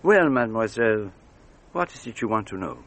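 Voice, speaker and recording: An actor playing an indulgent, gallant investigator with a courteous, confiding manner in a radio drama. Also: male voice, lowish voice, very animated, brisk. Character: indulgent, gallant male investigator charmed by youth.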